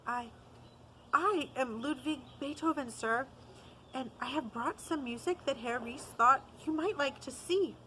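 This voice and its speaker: A woman's voice: high voice, measured pace, pushed and bright, a little hiss or hum, voice pushed up. A woman reading the part of an eager, earnest young boy composer in a storybook.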